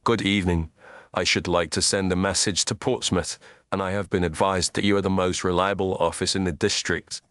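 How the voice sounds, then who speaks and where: A man voicing a coolly commanding gentleman detective in an audio story, formal, clipped and incisive. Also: low-pitched, fairly even intonation, fairly quick, no echo, studio quality. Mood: cool, careful.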